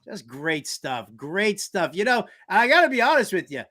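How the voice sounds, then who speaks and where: A man's voice: high voice, very animated, moderate pace, sharp tone. A man hosting a sports talk show, delivering theatrical, exasperated fan commentary with emphatic repeated phrases.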